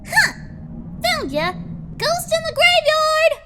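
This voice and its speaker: Excitable, very high-pitched little filly. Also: female voice, slow, noisy background, exaggerated voice. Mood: triumphant. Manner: exclaiming, childlike. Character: excitable little cartoon pony fillies, young girls, brash.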